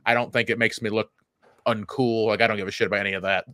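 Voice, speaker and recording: A man's voice: lowish voice, level tone, quick pace, bright, forceful tone, no echo, studio quality. A man co-hosting a casual comedy podcast, rambling and joking with some swearing. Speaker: podcast co-host.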